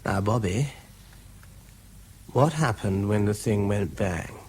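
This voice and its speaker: Wartime bomb investigator, British, radio drama: husky, tired, courteous, clipped and slightly hesitant. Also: male voice, fairly low pitch, expressive, slow, a little mellow, exaggerated voice. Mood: gentle questioning. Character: tired, earnest young male military bomb investigator.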